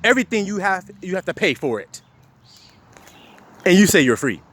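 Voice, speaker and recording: High, animated confrontational ranting man. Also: normal speed. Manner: confrontational, fires rhetorical questions, heavy swearing. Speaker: self-styled preacher. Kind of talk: profane scripture-quoting rant.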